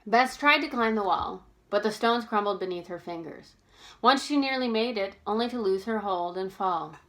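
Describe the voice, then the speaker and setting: A woman's voice: medium pitch, moderate pace, bright, forceful tone. Woman narrating a novel aloud. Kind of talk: expressive storybook narration.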